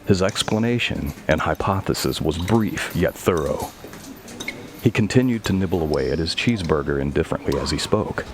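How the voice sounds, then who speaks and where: A man's voice: low-pitched, lively intonation, normal speed, background noise. A man reading scripted narration for a horror audio story.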